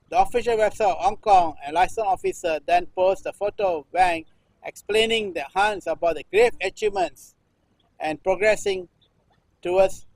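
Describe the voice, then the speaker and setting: A man in his sixties from Singapore, a performance artist reading news text aloud in halting runs, restarting phrases and repeating a refrain. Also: high voice, dry recording, poor audio. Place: temple-like cage at an art festival.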